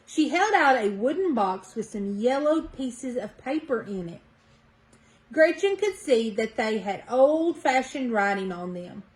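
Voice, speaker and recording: High-pitched woman reading a storybook slowly. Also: very animated, low-quality recording. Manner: deliberate, dramatic emphasis. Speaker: children's storyteller at a library story time. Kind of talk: expressive read-aloud of a picture book.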